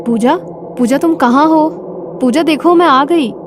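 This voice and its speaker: A woman's voice: high-pitched, unhurried, over music. A woman playing an anxious, pleading, frightened young woman in a cartoon horror story. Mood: worried, calling out. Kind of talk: Hindi cartoon horror dubbing.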